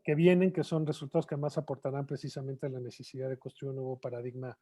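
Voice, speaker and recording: Mellow man giving closing remarks. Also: medium pitch, flat delivery, fast talker. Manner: searching for words, frequent "eh" and repeats. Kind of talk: closing speech at a webinar.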